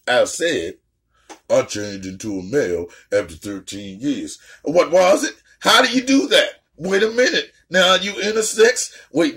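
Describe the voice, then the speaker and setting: A young adult woman hosting a casual reaction chat, talking loud and brash, swearing a lot and trash-talking playfully. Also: on the low side. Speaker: host.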